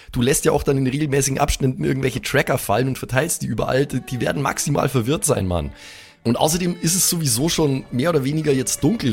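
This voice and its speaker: A young man improvising in a role-play, chatting casually in slang sprinkled with English words. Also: medium pitch. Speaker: player in a pen and paper podcast. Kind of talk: improvised in-character casual chat. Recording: faint music bed behind the voice. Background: faint background music.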